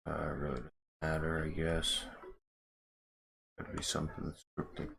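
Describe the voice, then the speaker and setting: A man's voice: a bass voice, little pitch movement, a little slow, fairly bright tone. A man muttering in fragments and thinking aloud, casually swearing as he talks through game modding on a screen recording. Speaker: game modder. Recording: clear background noise, keyboard clicks. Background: computer keyboard typing and clicks.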